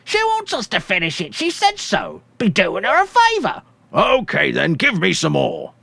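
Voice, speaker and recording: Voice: medium pitch, wildly swooping pitch, moderate pace, creaky, pushed and bright, dry recording, voice pushed up, heavily acted. A performer voicing casual male policemen who talk bluntly and thickly with their mouths full, in a story reading. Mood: casual, speculating.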